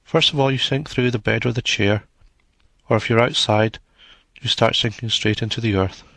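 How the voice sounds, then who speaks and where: A man narrating a guided meditation in a soothing, measured manner, leaving long pauses between short phrases. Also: mid-range voice, fairly even intonation, vocal fry, a bit bright, dry recording.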